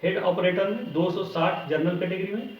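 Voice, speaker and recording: A man's voice: high-pitched, normal speed. A man giving an informal explanatory lesson as an exam coaching teacher, often checking in with short tag words. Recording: roomy, distant mic, dull lo-fi sound.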